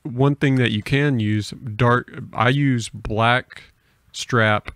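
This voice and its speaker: A man's voice: medium pitch, fairly even intonation, slow, a bit bright, no room sound. A young man hosting a podcast in casual conversation, speaking into a microphone at a table. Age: young adult. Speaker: podcast host. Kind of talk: casual chat. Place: small home room with bookshelves. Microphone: podcast microphone on a boom arm.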